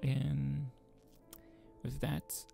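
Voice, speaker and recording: A man reading a visual novel aloud and commenting casually as a channel host, informal and halting, with a gravelly, husky voice. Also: mid-range voice, flat delivery, over music.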